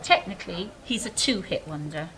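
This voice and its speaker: A woman playing an idealistic, earnest angel in an audio drama, speaking in a measured, philosophical way. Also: on the low side, expressive, noisy background, natural voice. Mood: prodding. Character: idealistic, earnest female angel, wistful and stately. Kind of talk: stage-style audio drama acting.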